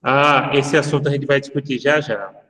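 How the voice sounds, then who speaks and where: A man's voice: medium pitch, steady tone, moderate pace, bright, forceful tone. A male professor with a Brazilian accent lecturing his class, explaining at length and often checking in with tag questions. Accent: Brazilian Portuguese. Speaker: university law professor. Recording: echoey hall-like sound, distant from mic.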